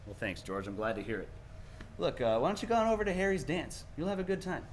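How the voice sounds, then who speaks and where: An actor playing a weary, kindly older small-town father in a 1940s radio play, speaking gently and haltingly. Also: on the high side, expressive, a little hiss or hum, natural voice. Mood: resigned, fond.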